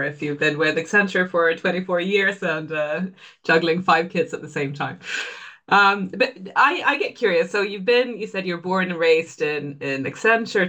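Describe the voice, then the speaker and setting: A man's voice: a little high, pushed and bright. A man co-hosting a podcast, asking questions in a curious, conversational way. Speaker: podcast co-host. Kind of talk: casual interview questions.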